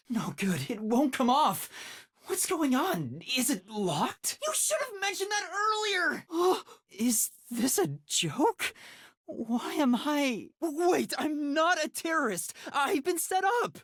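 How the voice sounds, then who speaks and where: A man voicing an anxious, earnest young male detective in a video game, with a soft, light, boyish voice and a hesitant, polite delivery. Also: very high voice, no echo, studio quality, heavily acted. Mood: panicked, pleading. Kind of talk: English video game voice acting.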